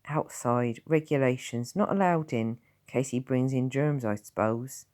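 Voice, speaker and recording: A woman voicing a gruff, kindly old countryman who talks plainly and bluntly in rural dialect. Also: extremely low pitch, measured pace, a little mellow.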